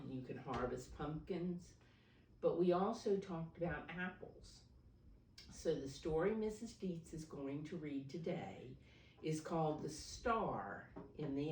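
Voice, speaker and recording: A woman speaking as a preschool teacher at storytime, explaining things simply and deliberately to young children. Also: low-pitched, a little hiss or hum. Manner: simple, deliberate explaining, refers to herself in third person. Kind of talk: read-aloud storytime for preschoolers. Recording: roomy echo, distant microphone.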